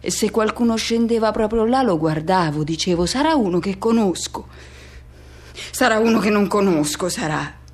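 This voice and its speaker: A woman's voice: mid-range voice, expressive, normal speed, breathy edge. A woman playing a restless, solitary middle-aged man in a radio drama monologue, speaking colloquially in short broken phrases as if questioning himself. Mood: wistful, hesitant.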